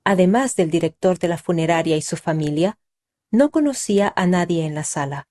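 A woman reading a first-person story aloud in a calm, measured, reflective way. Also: on the low side. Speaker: reader. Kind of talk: scripted first-person narration.

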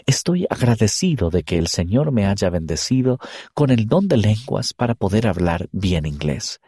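A man reading a first-person story aloud in an earnest, expressive way. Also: lowish voice, normal speed, slightly rough, no echo, clean studio sound. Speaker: reader. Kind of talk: scripted first-person narration.

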